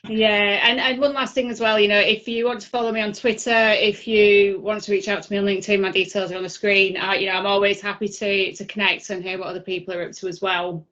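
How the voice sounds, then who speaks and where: A woman's voice: medium pitch, little pitch movement, fast talker, hardly any pauses, a bit bright. A woman with a Yorkshire accent presenting at an online user group, chatty and full of fillers. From Yorkshire, England. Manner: chatty, filler-heavy delivery. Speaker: conference speaker. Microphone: video call. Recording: dull lo-fi video call audio.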